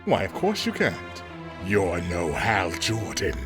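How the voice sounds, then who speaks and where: A scornful supervillain drawls slowly with wildly swinging pitch. He sounds condescending and sneering, in audio-drama style over loud music. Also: huge pitch swings, heavily acted. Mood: mocking. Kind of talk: dramatic audio story villain acting.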